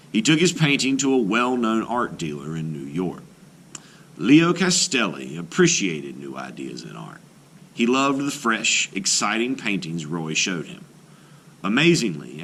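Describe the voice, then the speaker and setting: A man reading a children's book aloud as an art teacher, in an expressive storytelling style with deliberate pauses. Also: lowish voice, slight background noise. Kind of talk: scripted narration, children's read-aloud.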